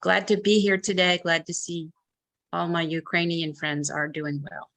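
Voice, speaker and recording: Lower-voiced American woman, inviting. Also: little pitch movement, moderate pace, vocal fry, fairly bright tone. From United States. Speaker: guest introducing herself.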